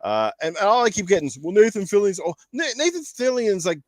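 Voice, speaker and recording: A man chatting casually as host of a panel show on games and movies, animated and opinionated, with some swearing. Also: high voice, a little fast, no room sound, studio quality.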